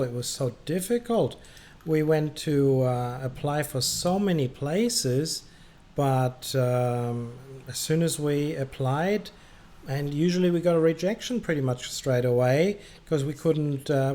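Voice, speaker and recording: A man's voice: mid-range voice, warm tone. A man hosting a gaming livestream, chatting casually and hesitantly between air traffic controller calls. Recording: clearly noisy background.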